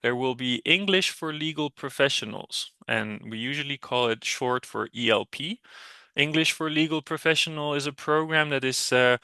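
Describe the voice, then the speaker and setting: A man moderating a panel, talking in a measured way with lots of fillers and restarts. His voice is bright and crisp, and the sound is dry and close. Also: medium pitch, dry recording. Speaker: host, program director. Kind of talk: panel moderation and program overview.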